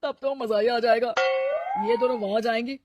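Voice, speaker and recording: A woman's voice: on the high side, fairly even intonation, moderate pace, lo-fi sound, background noise, voice pushed down, natural voice. A woman playing a scheming, easygoing older father in a cartoon family story, teasing and coaxing.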